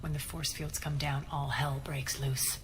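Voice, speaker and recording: An actress playing a ruthless, vengeful alien crime boss in a video game, speaking in a cool, clipped, commanding way. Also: female voice, a bass voice, flat delivery, unhurried, slight background noise. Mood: cold, menacing. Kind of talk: dramatic video game acting.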